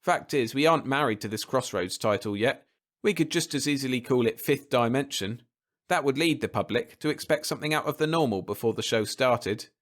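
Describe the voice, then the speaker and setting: A man's voice: mid-range voice, fairly quick, natural voice. A man voicing a brash television man in a story, blunt, informal and scoffing. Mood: practical, breezy.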